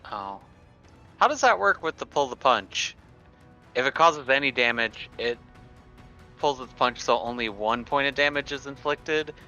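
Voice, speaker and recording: A man's voice: medium pitch, expressive, measured pace, sharp tone. A man chatting casually as a player at a tabletop roleplaying game, on a somewhat lo-fi mic. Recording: dull lo-fi mic sound. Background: background music.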